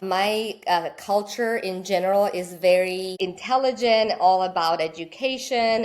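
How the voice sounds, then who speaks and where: A woman from Slovakia, a wellness studio founder, speaking as a guest in a casual interview and telling her story earnestly. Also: mid-range voice.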